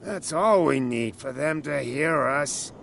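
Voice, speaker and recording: A man voicing a gruff, suspicious island drunkard in a video game, grumbling about outsiders. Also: a little high, lively intonation, takes it slowly, a bit bright, slight background noise, heavily acted. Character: gruff, idle male island drunk, protective of his saint.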